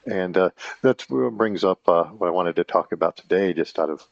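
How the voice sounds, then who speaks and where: A man in his fifties talking in a casual rambling monologue, halting and full of fillers and self-corrections. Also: low-pitched, creaky, dry recording, highs cut off. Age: 50s. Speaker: channel host.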